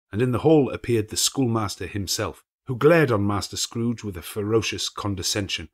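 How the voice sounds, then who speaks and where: A man reading a classic novel aloud as an expressive storyteller. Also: on the low side, slightly rough, no echo, studio quality. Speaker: audiobook reader.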